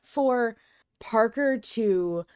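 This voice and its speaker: A woman podcast host in casual fan discussion, telling things in an animated way with quoted asides. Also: on the high side, drawn-out words, smooth, no echo, studio quality.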